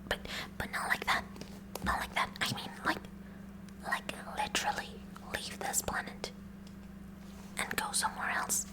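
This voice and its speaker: A woman plays an eager, kooky teenage science club president in an ASMR roleplay. She speaks in a slow whisper straight to the listener. Her delivery is halting and nerdy, rambling on with earnest curiosity. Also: close to an everyday voice, low-quality recording.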